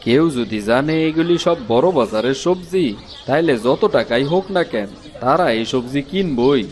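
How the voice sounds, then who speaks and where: A man's voice: mid-range voice, animated, normal speed, darkish tone, slight background noise, natural voice. A man voicing a plain-spoken village farmer and vegetable trader in a cartoon, with a rural eastern Bengali accent. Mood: assured. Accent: rural eastern Bengali dialect. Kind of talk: cartoon story acting.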